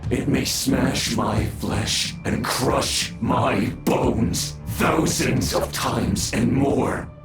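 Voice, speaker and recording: An actor voicing an arrogant, power-hungry undead villain in a video game, speaking theatrically with condescending pauses. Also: male voice, mid-range voice, echoey room, music in the background. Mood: arrogant, menacing. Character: menacing undead male villain, arrogant sinner craving power.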